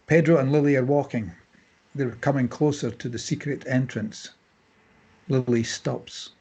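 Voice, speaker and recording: Husky male screenplay narrator. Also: mid-range voice, fairly even intonation, a little slow, mellow. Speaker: narrator reading stage directions.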